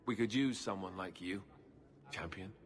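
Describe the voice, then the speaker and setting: Actor as a wry, low-voiced king in a reverberant hall. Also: moderate pace, lightly acted. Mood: wry, regretful. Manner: dry, joking, casual. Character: wry, good-humoured king, weary but hopeful. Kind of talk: video game voice acting.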